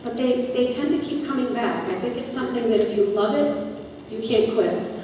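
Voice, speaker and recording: A woman's voice: a little high, normal speed, mellow. A woman, a drama director, talking off the cuff to an audience and repeating phrases for emphasis, recorded in an echoey auditorium. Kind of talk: spontaneous talk to an audience. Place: school theater. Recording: echoey auditorium from far off, noisy.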